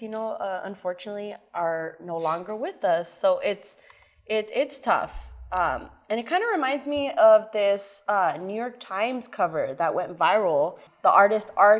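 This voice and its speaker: A woman co-hosts a casual talk show at a medium pitch. She chats easily and slips in prepared talking points along the way. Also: fairly bright tone. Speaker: co-host. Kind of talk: casual podcast chat.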